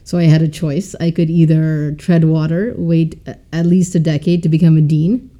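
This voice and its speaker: A woman who was a doctor, now an MBA student, giving calm, composed interview answers. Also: low voice, normal speed.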